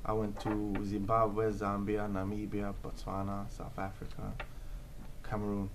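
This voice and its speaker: Hesitant, low-voiced man in his thirties. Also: monotone, measured pace, music in the background. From Norway. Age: 30s. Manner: hesitant, many fillers and restarts, earnest. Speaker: interview guest, comic book creator. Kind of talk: casual interview answers.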